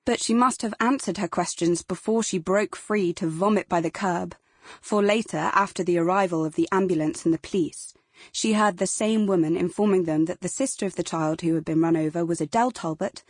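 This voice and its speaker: Low-voiced British woman reading an audiobook. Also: brisk, a little mellow, dry recording, clean studio sound. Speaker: audiobook narrator. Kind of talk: scripted dramatic fiction narration.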